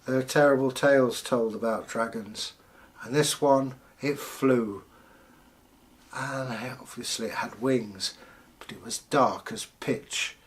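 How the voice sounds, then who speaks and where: A man in the persona of a Viking storyteller, telling folktales in short phrases with theatrical pauses. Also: mid-range voice. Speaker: channel host and storyteller.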